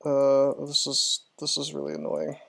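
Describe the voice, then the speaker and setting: Male streamer, medium pitch, casual live gameplay commentary, chatty, thinking aloud. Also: very animated, unhurried, gravelly, a bit bright. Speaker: video game streamer. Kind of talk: casual live gameplay commentary, talking through moves and answering chat.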